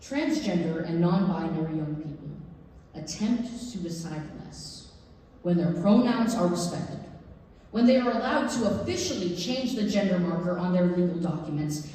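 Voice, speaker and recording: A woman performing a staged reading as an earnest, urgent activist addressing a school board, speaking emphatically with deliberate pauses. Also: on the low side, a little mellow. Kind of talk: staged reading of a public comment speech. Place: library district theatre stage. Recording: echoey hall, distant mic, faint noise.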